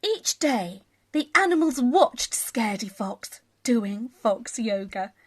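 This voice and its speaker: Female children's narrator: lively swooping pitch, very unhurried, gushing, talks straight to little listeners. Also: husky. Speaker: children's storyteller. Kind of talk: expressive bedtime story narration for young children.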